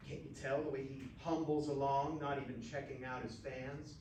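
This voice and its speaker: A man's voice: medium pitch, little pitch movement, moderate pace, darkish tone, lightly acted. A man voicing a brash, fiery cowgirl with a sassy, challenging drawl for a story reading, in a roomy recording. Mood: taunting. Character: brash, fiery young cowgirl, teasing and combative. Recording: roomy, distant mic with faint noise.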